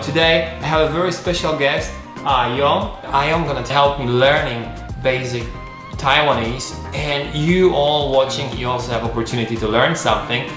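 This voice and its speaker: A man's voice: mid-range voice, fairly bright tone. Earnest male host, echoey room. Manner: earnest, non-native English with small grammar slips. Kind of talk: casual conversational interview.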